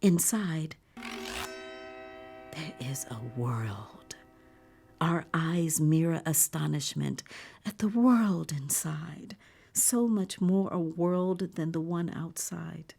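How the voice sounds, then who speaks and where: An awed visitor to a bleak future theme park in an audio drama. Her voice is low and dark, with heavy creak. She narrates with breathy wonder and wry understatement, often dropping toward a whisper. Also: extremely slow, soft background music, heavily acted. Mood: hushed wonder. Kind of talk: dramatic first-person audio fiction narration.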